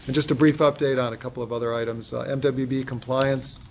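An American man giving a formal, businesslike status report to a board, reading from notes a little haltingly. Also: mid-range voice, fairly even intonation. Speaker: construction executive reporting to a board. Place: large conference room with long table. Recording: clear background noise and clicks.

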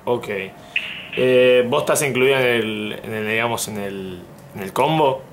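Hesitant young Argentine man, faint noise. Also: mid-range voice. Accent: Argentine Spanish. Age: young adult. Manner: hesitant, halting, polite. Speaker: prank caller, YouTube host. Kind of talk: casual prank phone call. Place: small indoor room at home.

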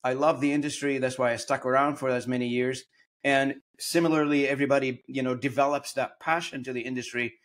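A man at a middle pitch hosts an interview, talking in long, rambling stretches. He often restarts his phrases and reaches for words as he goes. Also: steady tone, a little fast. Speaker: podcast host. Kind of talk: conversational interview.

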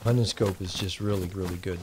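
A man with a Texan accent talks his way through a game, easygoing and rambling. His voice sits on the low side, and game sounds of animals and the outdoors are clearly heard behind him. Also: a little fast, noisy background. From Texas. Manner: relaxed, rambling, thinks aloud while playing. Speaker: gaming channel host. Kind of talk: casual gameplay commentary.

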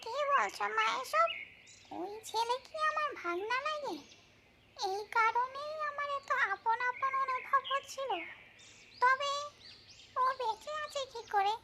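A woman voicing a mischievous yet tender female tree ghost in a folk-tale cartoon, speaking in drawn-out exclamations. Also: very high voice, very noisy, exaggerated voice. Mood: surprised, regretful.

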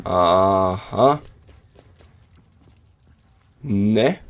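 A man's voice: lowish voice, expressive, drawn-out words. A man with a cold and a sore throat, casually commenting on his gameplay and talking straight to his viewers from his computer. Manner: chatty, addresses viewers directly. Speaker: let's play commentator.

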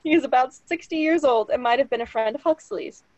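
High-pitched young woman narrating. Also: fairly quick, darkish tone, no room sound. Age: young adult. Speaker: reader of stage directions. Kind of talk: scripted narration. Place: small lit room at home, on a video call.

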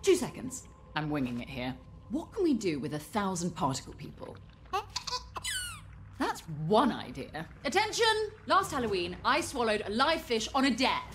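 A woman's voice: mid-range voice, wildly swooping pitch, normal speed, fairly bright tone, a little hiss or hum. A woman voicing a vengeful, sarcastic alien villain queen in a cartoon, melodramatic with dry asides. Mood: rallying. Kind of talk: animated comedy acting.

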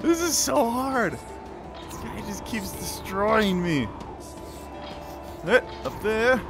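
Male gamer, exclamatory live commentary, very lively pitch swings, unhurried overall pace. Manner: exclamatory, short self-directed bursts. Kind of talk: excited live gameplay commentary. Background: game music.

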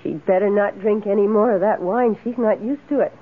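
Actress as a practical housemaid, muffled radio recording. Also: female voice, mid-range voice, a little fast, natural voice. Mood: reserved. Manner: polite, practical, reserved. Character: deferential, matter-of-fact woman housemaid. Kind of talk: old-time radio drama acting.

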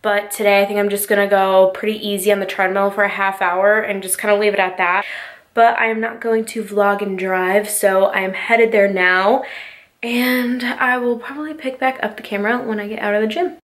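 A woman's voice: mid-range voice, fairly even intonation, normal speed. Chatty young woman with a stuffy nose. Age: 20s. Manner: chatty, rambling, lots of "like" fillers. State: nasal from a cold. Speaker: vlogger. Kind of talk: casual vlog chat to camera. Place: small bedroom, indoors. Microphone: phone or camera at arm's length.

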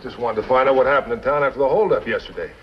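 Man acting a cocky western outlaw, fast offhand talk, mid-pitched voice, muffled old soundtrack. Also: lightly acted. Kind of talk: 1950s television western acting. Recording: muffled, noisy 1950s film soundtrack.

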